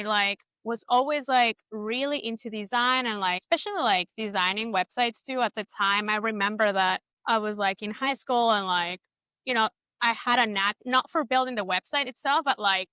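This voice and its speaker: Bright, clear, high-voiced woman from Ecuador. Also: moderate pace, no room sound. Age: 30s. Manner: informal, peppers talk with "like" and quoted inner thoughts. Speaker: interview guest, product designer. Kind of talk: casual conversational interview, personal storytelling.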